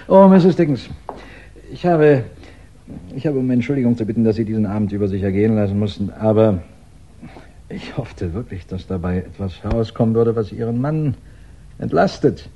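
An actor playing a composed, probing police inspector in a 1960s German radio crime drama, deliberate and dryly polite. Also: male voice, fairly low pitch, dark tone, slight background noise, lightly acted. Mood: formal, grave. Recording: dull vintage 1960s radio recording.

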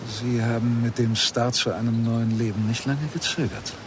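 A man's voice: medium pitch, steady tone, moderate pace, background noise, natural voice. An actor playing a probing, insistent male lawyer who tells his own story in a German radio play, with a dry, pressing manner. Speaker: radio drama actor, narrator.

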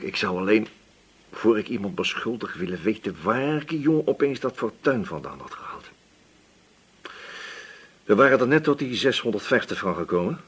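An actor in a radio play voicing a shrewd, patient police inspector who probes casually in a musing, hesitant way. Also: male voice, lowish voice, husky. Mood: musing, assured. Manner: musing, hesitant probing with repeated questions. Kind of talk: radio drama dialogue.

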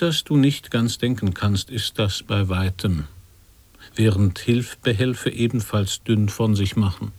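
Earnest lowish-voiced man nonsense lecture. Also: a little mellow, no room sound. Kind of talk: avant-garde radio play monologue of sound poetry.

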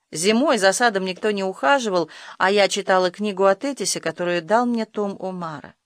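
A narrator reads literary fiction in the first person, at a medium pitch. The recording is dry and close, with no room sound around the scripted storytelling. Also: fairly bright tone, dry recording. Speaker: audiobook narrator.

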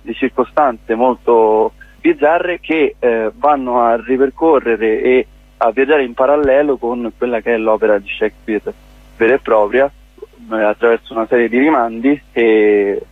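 Young man, twenties, mid pitch, phone-in guest, thoughtful and halting, dry sound. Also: no room sound, phone-quality sound. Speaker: playwright and director guest. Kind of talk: phone interview. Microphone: telephone line.

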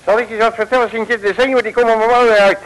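An actor from the Netherlands playing an excitable, grumpy, bumbling detective who scolds and curses colourfully in a vintage Dutch comic radio serial. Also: male voice, extremely high pitch, racing, poor audio. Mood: self-important. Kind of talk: 1950s-60s comic radio serial acting. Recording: vintage mono radio recording.

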